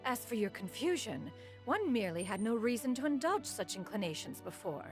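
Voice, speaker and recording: Formal old crane spirit lady in human form, talks about herself as "one", lively up and down pitch, music under it. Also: fairly quick. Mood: stately. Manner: formal archaic speech, refers to herself as "one". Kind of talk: video game cutscene voice acting. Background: game music.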